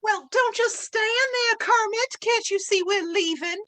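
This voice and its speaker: A woman's voice: very high-pitched, unhurried, a bit bright. A woman playing a haughty, offended small-town wife in a radio western, spluttering and scolding. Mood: outraged, scolding. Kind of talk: old-time radio western acting.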